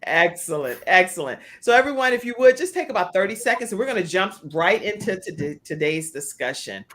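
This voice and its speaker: A woman's voice: lowish voice, big pitch swings, brisk, a bit bright. An American woman hosting a live online talk show in an enthusiastic, welcoming manner.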